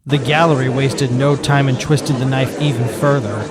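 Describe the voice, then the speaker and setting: A man narrating a fan fiction story in a steady, matter-of-fact manner. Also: mid-range voice, normal speed, background noise.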